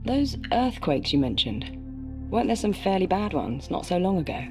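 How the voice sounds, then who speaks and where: A woman's voice: mid-range voice, very animated, normal speed, music in the background. An actress playing a composed female interviewer in a video game, asking careful, formally worded questions. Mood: probing. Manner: inquisitive, careful, formal wording.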